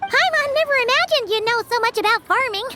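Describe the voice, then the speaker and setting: A woman voicing a tiny, cheeky, excitable fairy companion in a video game, with a childlike, exclaiming manner. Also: very high-pitched, fairly quick. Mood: cheeky, curious. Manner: childlike, exclaiming, speaks of herself in third person. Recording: clear background music under the voice.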